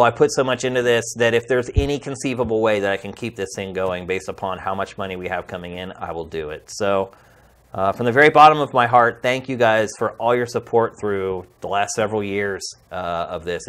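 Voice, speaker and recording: A man's voice: on the low side, brisk, a bit bright. A man hosting a games podcast in a studio, talking in a heartfelt, pleading, conversational way. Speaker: podcast host and games journalist. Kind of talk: earnest podcast sign-off.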